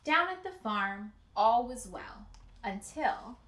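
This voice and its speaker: Slow, bright-voiced young woman reading aloud. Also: fairly high pitch, animated. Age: young adult. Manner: deliberate, emphatic storytelling for children. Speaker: teacher reading aloud to children. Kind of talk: expressive picture-book read-aloud. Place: indoor room with bookshelves. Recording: roomy indoor echo.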